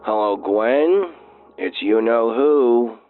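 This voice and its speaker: A crooning lovelorn caller on voicemail. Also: male voice, medium pitch, expressive, slow. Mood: sheepish. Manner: sheepish, drawling, croons to guitar. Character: lovelorn man singing into a voicemail. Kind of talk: scripted comedy audio drama. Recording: tinny phone voicemail with guitar.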